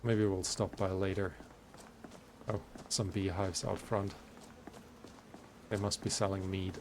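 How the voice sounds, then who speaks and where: A man giving casual let's play commentary, musing aloud in unhurried asides as he hosts a gaming video. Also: low-pitched, flat delivery, a little hiss or hum.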